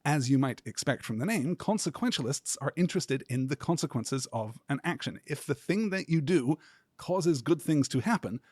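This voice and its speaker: A man hosting a podcast as a scripted literary lecture, speaking in a measured, earnest, academic way. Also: a little high, lively intonation, darkish tone, husky, no echo, studio quality. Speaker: podcast host.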